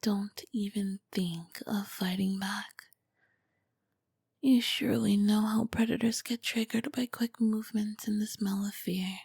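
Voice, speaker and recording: Casting: female performer as charming, dangerous royal vampire queen for ASMR roleplay; breathy whispered delivery, unhurried, courtly and flirtatious. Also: medium pitch, fairly even intonation, darkish tone, no echo, clean studio sound, full character voice. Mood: hungry, menacing. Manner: slow, formal, flirtatious phrasing. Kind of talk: ASMR roleplay, scripted and intimate.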